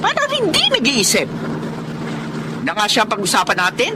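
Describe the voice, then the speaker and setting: An actress playing a cruel middle-aged wife and creditor in a Filipino radio drama, with a Filipino accent. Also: female voice, on the high side, huge pitch swings, normal speed, heavy background noise. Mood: annoyed.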